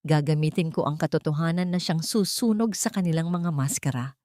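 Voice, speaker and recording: A determined, wronged country mother bent on revenge, acted by a woman narrator with a Filipino accent. She speaks intensely in a low register, in a dry audiobook recording. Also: fairly quick, warm tone, no room sound, natural voice. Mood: resolute, vengeful. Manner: intense, resolute. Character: wronged, determined provincial mother and weaver, vengeful.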